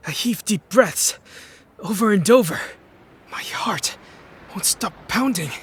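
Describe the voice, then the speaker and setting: A man playing a troubled young psychic who narrates his story in a brooding, hushed, dramatic fan-dub style. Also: sits high, expressive, slow, slightly rough, full character voice. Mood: shaken.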